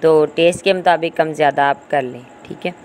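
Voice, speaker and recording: A woman's voice: low voice, brisk, a little mellow. A woman giving an informal, instructional recipe voice-over as a cooking host. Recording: home recording with clear background noise.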